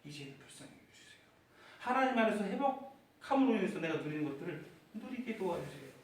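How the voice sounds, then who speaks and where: High-voiced preacher in an echoey church hall. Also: male voice, animated, quick pace, recorded from a distance, a little hiss or hum. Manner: rising to fervent, shouted prayers; asks the congregation questions. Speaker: pastor. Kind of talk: sermon. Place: church sanctuary.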